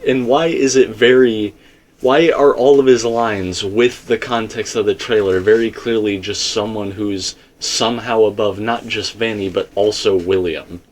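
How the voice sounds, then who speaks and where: Emphatic, rambling man with a lowish voice. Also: fairly even intonation, measured pace. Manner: emphatic, rambling, speaks in asides and self-quotes. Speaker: video commentator and theorist. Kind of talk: casual fan theory discussion.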